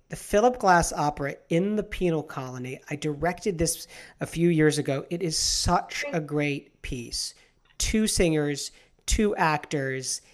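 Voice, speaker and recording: A man hosting a talk show about opera, chatting in quick, animated bursts. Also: a little high. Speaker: host. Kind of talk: casual chat.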